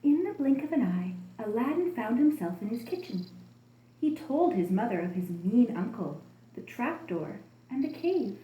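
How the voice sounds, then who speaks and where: A woman telling a children's story as an expressive storybook narrator. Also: fairly high pitch, moderate pace, slight background noise. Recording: roomy, echoing room sound.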